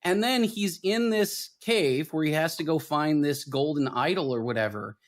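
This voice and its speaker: A man hosting a casual film discussion podcast, explaining his points in an animated, emphatic way. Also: fairly high pitch, moderate pace. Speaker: podcast host.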